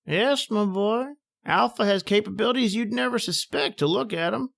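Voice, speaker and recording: Man as a high-pitched, creaky professor. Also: normal speed, fairly bright tone, full character voice. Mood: proud, expansive. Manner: avuncular, theatrical, showing off. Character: genial, proud old professor inventor. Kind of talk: old-time radio drama acting.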